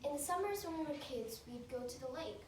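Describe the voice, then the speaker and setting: A woman performing a dramatic monologue as a grieving, guilt-ridden young woman, with emotional, deliberate delivery. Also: high-pitched, lively intonation. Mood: wistful, remembering. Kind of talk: competition dramatic interpretation monologue. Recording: echoey room, far mic, faint noise.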